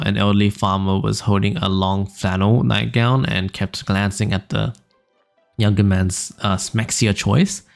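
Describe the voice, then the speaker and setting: A young man hosting a casual podcast, reading funny stories aloud in a smooth low voice, rambling with fillers and small laughs. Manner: relaxed, rambling, frequent fillers and little laughs. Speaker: podcast host. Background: faint background music.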